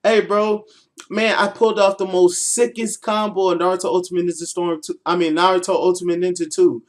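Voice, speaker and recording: An excitable, geeky teenage boy, acted out by a man telling a story, with a raised, high voice. He gushes with breathless enthusiasm, like a superfan in full flow. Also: fairly quick, natural voice. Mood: excited. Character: excitable nerdy teenage boy gushing about anime and games.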